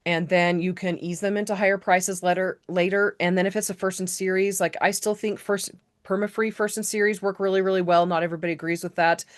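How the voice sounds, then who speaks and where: A woman hosting a casual live online training, chatty and rambling, correcting herself and filling pauses with "um". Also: lowish voice, little pitch movement. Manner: chatty, rambling, self-correcting with many fillers. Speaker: host of a live author-business training.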